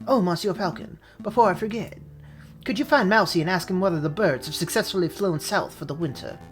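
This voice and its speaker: A performer voicing a brooding male falcon lawyer in a game, speaking in formal, measured phrases. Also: medium pitch, lively intonation, faint music behind, voice pushed up, lightly acted. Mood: resolute.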